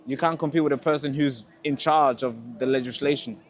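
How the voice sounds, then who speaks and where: A man's voice: mid-range voice, a little fast. A young man from Tanzania debating a passerby in the street, pushing his point and repeating words as he presses it. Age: young adult. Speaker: street interviewer and rally speaker.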